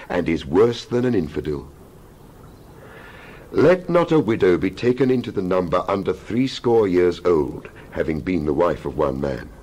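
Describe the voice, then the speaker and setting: A man with a low voice reads scripture as a dramatic performance. His delivery is solemn and declamatory, laid out in measured phrases, with the pitch rising and falling widely as he goes. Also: darkish tone. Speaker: Bible reader.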